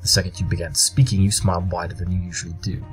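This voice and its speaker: Male actor as blunt genius detective, low register, formal diction, precise, audio drama, light music underneath. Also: level tone, fast talker, natural voice. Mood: dry, analytical. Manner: precise, formal, deductive, matter-of-fact. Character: brilliant, blunt, formal pony consulting detective.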